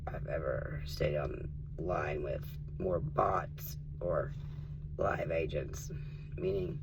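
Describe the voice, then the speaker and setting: A man from Tennessee, his voice rough and in need of rest, talking casually to his viewers and often dropping to an earnest whisper. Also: a bass voice, slow, noisy background. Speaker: vlogger. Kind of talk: casual spiritual vlog and storytime.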